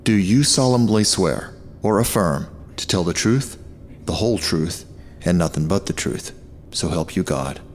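A man's voice: sits low, animated, measured pace. A man playing a solemn court clerk in an audio drama, formally reciting an oath. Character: solemn male court clerk swearing in a witness. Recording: long hall reverb, background noise.

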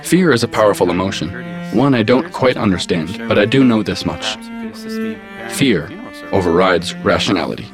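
A man voicing a calm, logical artificial intelligence with precise, formal phrasing in a science fiction audio drama. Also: fairly low pitch, normal speed. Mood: measured, analytical. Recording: music behind the voice.

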